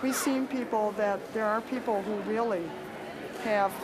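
Woman amid very loud crowd noise. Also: a little high, fairly even intonation, moderate pace. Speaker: convention fan. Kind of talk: casual interview answer.

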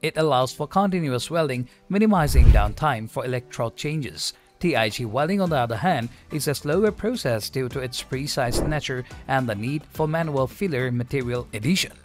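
A man narrating a scripted explainer video in an even, polished delivery without fillers. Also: medium pitch, normal speed, few pauses, music in the background. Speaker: channel narrator.

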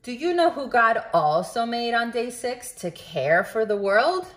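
A woman reading a children's book aloud in a deliberate, expressive way, as if speaking directly to young listeners. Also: on the high side. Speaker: storybook reader. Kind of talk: children's read-aloud narration.

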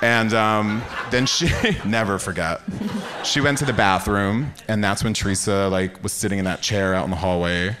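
A man's voice: lowish voice, normal speed, crowd noise. A man casually telling a rambling, gossipy story as a podcast guest on a theatre stage. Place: on stage in a theatre before an audience. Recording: noisy live theatre recording.

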